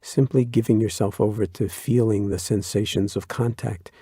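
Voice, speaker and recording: Man guiding a body scan, low-ish calm voice, slow and soothing, dry close sound. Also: slightly rough, no echo. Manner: slow, soft-spoken and soothing. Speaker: meditation guide. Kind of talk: guided body scan meditation.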